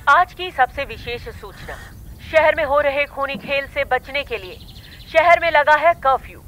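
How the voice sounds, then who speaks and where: Woman acting bright high-pitched news announcer. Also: expressive, unhurried, slight background noise. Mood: urgent. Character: urgent female news announcer. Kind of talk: news bulletin.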